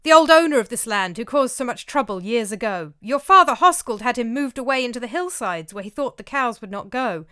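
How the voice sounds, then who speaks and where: A woman's voice: on the high side, lively intonation, a little fast, few pauses, sharp tone, clean tone, dry recording, studio quality, pitched above the performer's own voice. A woman voicing a frightened male servant who moans and panics. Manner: panicky, moaning.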